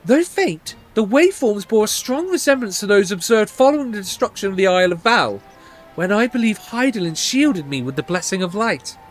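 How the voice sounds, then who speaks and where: A man's voice: sits high, expressive, brisk, soft background music. A man voicing an earnest scholarly researcher from a fantasy game, formal and precise as theories are laid out. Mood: earnest, explanatory.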